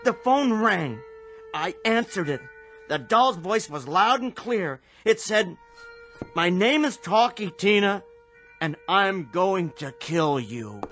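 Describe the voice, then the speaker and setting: A man's voice: high-pitched, expressive, slow, a bit bright, exaggerated voice. A man playing an irritable, suspicious, bullying husband and father in an American radio drama, sarcastic and accusing. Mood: bewildered. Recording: music underneath.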